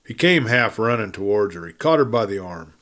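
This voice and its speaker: A man narrating a western novel as an audiobook, in a measured, deliberate storytelling style with dramatic pauses. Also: fairly low pitch, animated, a bit bright.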